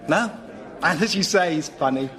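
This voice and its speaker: An actor playing a slyly jealous friend in a radio comedy sketch, breezy and feigning innocence. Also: male voice, a little high, animated, normal speed, a bit bright. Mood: blandly innocent.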